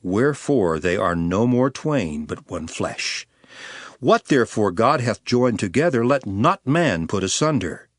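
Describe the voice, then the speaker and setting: A man playing a grave, earnest male teacher in a dramatized scripture reading, with solemn, emphatic, measured oratory. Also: fairly low pitch, sing-song, no echo, clean studio sound. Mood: firm teaching.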